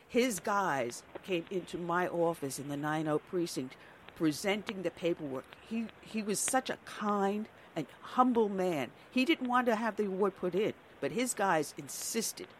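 A woman's voice: lowish voice, animated, unhurried, a little hiss or hum. An older woman from New York hosting a conservative talk radio show, speaking earnestly and conversationally.

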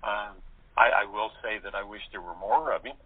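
A man giving brief, halting, casual answers as an interview guest. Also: fairly low pitch, husky. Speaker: guest voice actor in radio plays. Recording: narrow radio-like phone line.

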